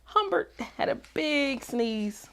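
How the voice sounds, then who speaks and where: A woman reading a children's storybook aloud in an expressive, animated way with dramatic pauses. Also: high voice, vocal fry. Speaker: read-aloud narrator.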